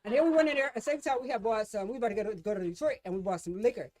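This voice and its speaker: Fast-talking woman in her thirties. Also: a little high. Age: 30s. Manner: animated storyteller, quick and playful. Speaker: podcast co-host. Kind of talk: casual chat.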